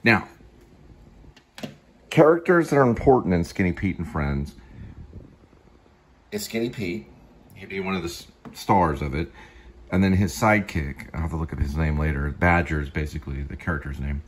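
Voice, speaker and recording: Very deep-voiced man rambling, slightly husky. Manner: halting, digressive, often restarting mid-phrase. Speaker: show host, actor and teleplay writer. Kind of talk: rambling casual vlog talk.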